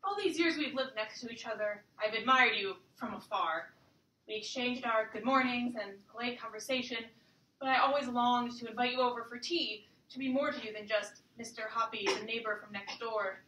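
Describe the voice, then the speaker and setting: A woman's voice: fairly high pitch, moderate pace, sharp tone, a little hiss or hum. A woman playing a shy, earnest older bachelor neighbour in love in a staged musical reading. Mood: nervous, confessing. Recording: echoey distant room recording.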